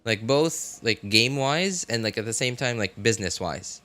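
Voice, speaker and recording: A young man doing casual livestream commentary on a game, rambling and halting as he repeats himself. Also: mid-range voice, expressive, sharp tone. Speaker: game streamer.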